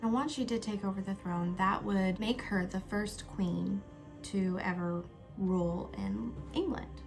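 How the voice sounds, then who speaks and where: A young woman talks to her viewers in a chatty, upbeat way while she does her makeup. Her voice sits at a middle pitch, and now and then she drops into a soft whisper. Faint music plays quietly behind her. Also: gravelly. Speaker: YouTube host. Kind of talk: casual vlog chat while doing makeup.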